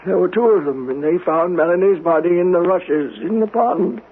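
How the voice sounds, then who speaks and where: An actor playing a vengeful, devout man over ninety in a radio mystery drama, with a solemn, deliberate, fervent delivery. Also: sits high, breathy edge. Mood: solemn insistence. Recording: noisy, tinny old radio broadcast recording.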